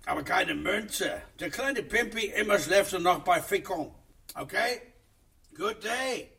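A woman's voice: medium pitch, expressive, takes it slowly, gravelly, fairly bright tone, recorded from a distance, slight background noise, exaggerated voice. A woman in a radio play voicing an offhand small-time crook who talks in clipped, slangy phrases.

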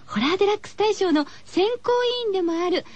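A woman's voice: very high voice, fast talker, a little mellow. A woman hosting a radio show from a studio, chatty but scripted, with cutesy, playful phrasing.

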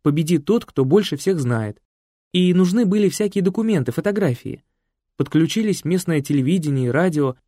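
A man's voice: on the high side, fairly quick, no room sound, clean studio sound, voice pushed up. A man reading an audiobook, voicing a chatty, kindly village woman, the daughter of a priest. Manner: talkative and animated. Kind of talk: audiobook character voice.

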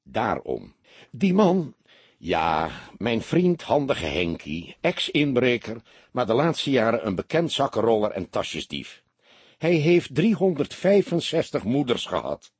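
Man voicing a measured, shrewd veteran police detective. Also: medium pitch, wildly swooping pitch, breathy edge, no echo, exaggerated voice. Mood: slow, knowing.

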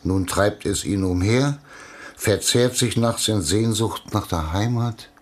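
Husky, blustery boss. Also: male voice, fairly low pitch, takes it slowly. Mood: opinionated. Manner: fussy, insistent, repeats himself. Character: blustery, fanciful male boss, middle-aged, insistent. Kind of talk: German radio play dialogue.

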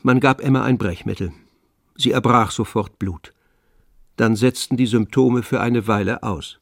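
A man narrating a literary radio drama in a measured, grave manner. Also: mid-range voice, creaky, no echo, clean studio sound.

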